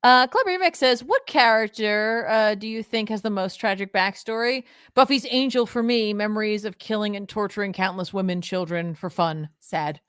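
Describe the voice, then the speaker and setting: A young woman co-hosting a comic book show, playful and quick to laugh, reading viewer comments aloud. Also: medium pitch, fairly bright tone, smooth, no echo, clean studio sound. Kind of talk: casual podcast chat, reading viewer comments.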